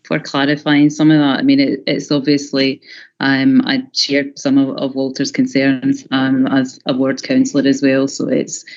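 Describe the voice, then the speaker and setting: A local councillor making measured, diplomatic remarks in a formal meeting, choosing words hesitantly. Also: mid-range voice, monotone, darkish tone. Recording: muffled video-call audio.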